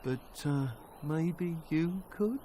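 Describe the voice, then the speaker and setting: An actor playing a nervous, chatty merchant who rambles and wheedles over game music. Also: male voice, fairly high pitch, drawn-out words, mellow, slight background noise. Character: nervous chatty male merchant, middle-aged. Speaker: merchant character.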